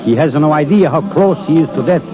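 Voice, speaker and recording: An actor playing a boastful, exuberant Italian army surgeon in a 1930s radio drama, with flamboyant, playful phrasing and an Italian accent. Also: male voice, on the high side, lively intonation, fast, a crowd in the background, natural voice. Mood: jovial. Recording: muffled, noisy 1930s radio broadcast.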